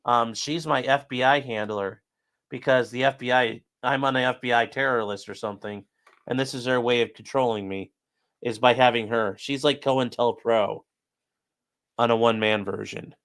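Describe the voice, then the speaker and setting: A man's voice: medium pitch, steady tone, moderate pace, fairly bright tone. Joking man chatting on stream. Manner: joking, sarcastic, talks straight to chat. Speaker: streamer and legal commentator. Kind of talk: casual livestream chat reading viewer comments while building a model.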